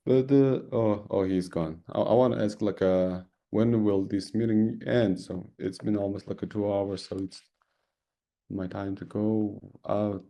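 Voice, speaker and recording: A man's voice: fairly low pitch, steady tone, measured pace, mellow. A man on a casual video call, drawling and teasing. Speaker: participant.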